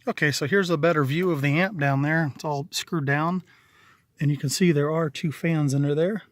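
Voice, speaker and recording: A middle-aged man with a fairly high voice and a dry, close sound. He talks casually and matter-of-factly, like a plain-spoken hobbyist walking viewers through his own handiwork on camera. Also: steady tone, moderate pace, dry recording. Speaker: DIY installer presenting own work. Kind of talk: casual video walkthrough narration. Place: in and around a parked vehicle.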